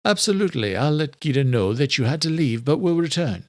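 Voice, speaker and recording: A male narrator acts a kindly old doctor for an audiobook. He is genteel and wry, chatting reassuringly in a voice pitched up from the narration, closely and dryly recorded. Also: big pitch swings, a little fast, no room sound, clean studio sound.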